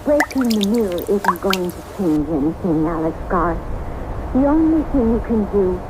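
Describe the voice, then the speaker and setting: An actress playing a frightened young wife, later a ghost, in an old radio drama, pleading and emotional. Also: female voice, mid-range voice, sing-song, moderate pace, heavy background noise, close to an everyday voice. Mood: ominous. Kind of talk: 1970s radio drama acting.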